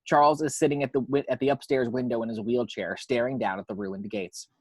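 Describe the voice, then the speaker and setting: A man's voice: medium pitch, fairly even intonation, brisk, darkish tone, slightly rough, dry recording. A man narrating stage directions from a script at an amateur table read.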